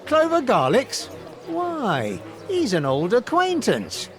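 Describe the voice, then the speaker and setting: Clever little gaulish warrior for a cartoon game, high lively voice, polite, takes charge. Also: male voice, slow pace, faint music behind, full character voice. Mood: polite, resolute. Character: shrewd, confident little male Gaulish warrior hero. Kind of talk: animated cartoon game voice acting.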